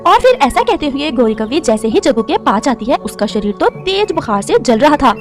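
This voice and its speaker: A woman's voice: high voice, sing-song, a little fast, soft background music. A woman giving scripted, dramatic narration of a cartoon story.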